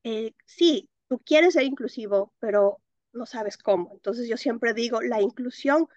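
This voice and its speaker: Expressive Ecuadorian woman, interview guest. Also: a little high, unhurried, no echo, dull audio. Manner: expressive, laughs often, mixes in English words. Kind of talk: interview answers.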